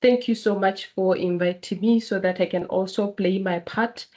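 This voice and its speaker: Woman w a cold giving a keynote over a video call, lots of ums, kinda halting. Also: mid-range voice, steady tone, darkish tone. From Botswana. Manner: hesitant, many ums. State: has a cold. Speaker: keynote speaker. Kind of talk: online webinar presentation.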